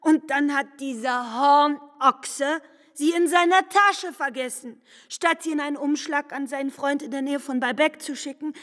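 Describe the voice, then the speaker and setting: An actress playing a defiant, exasperated young woman confessing her lies in an indignant, expressive literary radio play manner. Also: high voice, normal speed. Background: mallet percussion music.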